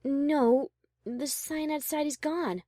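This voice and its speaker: A woman playing a high-class pony in an audio drama, proper and suspicious, hesitating when flustered. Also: steady tone, takes it slowly, warm tone, no room sound, clean studio sound. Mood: skeptical, puzzled. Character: prim, worried, suspicious high-class female pony musician. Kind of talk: audio drama character reading.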